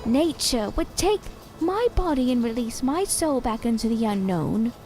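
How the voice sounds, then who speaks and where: A little doll come to life, speaks high and sweetly over music. She is theatrical and sorrowful, choosing ornate, old-fashioned words as in a gothic radio play. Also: expressive, a little slow, mellow. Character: living doll of a woman, small, sweet-talking, sorrowful, theatrical. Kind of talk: audio drama acting.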